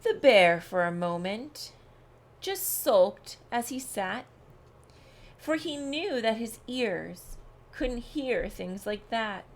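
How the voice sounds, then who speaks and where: Woman, children's storyteller, slightly high-pitched, very slow, deliberate, expressive read-along.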